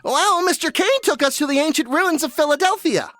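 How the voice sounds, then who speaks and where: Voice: high voice, animated, a little fast, fairly bright tone, no room sound, faint music behind. Willy, timid boy. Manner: timid, stammering. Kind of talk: 1930s radio acting.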